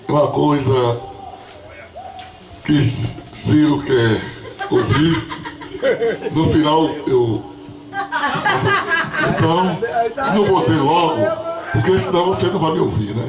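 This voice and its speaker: A hoarse man in his sixties, a former school director, giving a rambling, chatty ceremony speech full of asides. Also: a little high, slow, pauses often, loud music behind the voice. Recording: far-off voice in an echoey hall.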